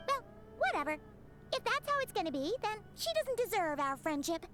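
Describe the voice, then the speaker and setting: A woman's voice: very high-pitched, a bit bright, full character voice. A woman voicing a tiny, bubbly floating fairy companion girl who is chirpy and nosy and calls herself by name, in game dialogue. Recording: game audio with background music. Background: game music.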